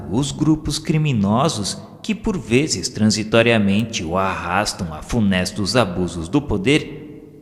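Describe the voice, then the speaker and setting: A Brazilian man reading solemn scripted religious narration in a formal, measured, oratorical way. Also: lowish voice. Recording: long hall reverb, music underneath. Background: background music.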